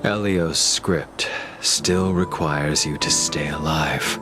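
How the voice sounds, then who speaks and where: A man playing a grim, menacing, vengeful swordsman in a video game, with cold, patient, deliberate delivery. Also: a bass voice. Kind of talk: dramatic video game voice acting. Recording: background music over game dialogue.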